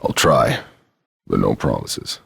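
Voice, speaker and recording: An actor playing a world-weary, sardonic soldier in a video game, gravelly, dry and blunt. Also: male voice, a bass voice, big pitch swings, takes it slowly, no echo, heavily acted. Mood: dry, guarded. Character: gruff, world-weary male Outrider soldier, sardonic and foul-mouthed.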